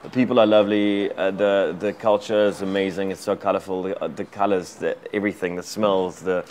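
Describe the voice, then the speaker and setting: Low-voiced Afrikaans-accented man, energetic and rambling. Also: fairly quick, slight background noise. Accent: South African Afrikaans. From South Africa. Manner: energetic, rambling with many restarts. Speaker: actor and director guest. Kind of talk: casual interview chat.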